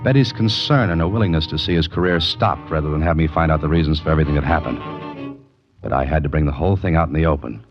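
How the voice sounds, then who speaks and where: An actor playing a determined amateur investigator in a 1940s radio mystery, narrating and questioning in a terse, hardboiled way. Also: male voice, very deep voice, quick pace, music in the background. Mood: brisk narrating. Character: deep-voiced, determined male amateur investigator narrating his case. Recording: dull vintage radio recording.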